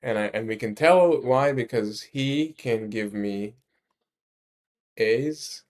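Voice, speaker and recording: A man explaining his ideas in a casual discussion, halting and rephrasing emphatically as he goes. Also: mid-range voice, darkish tone. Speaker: speaker explaining a research ranking system.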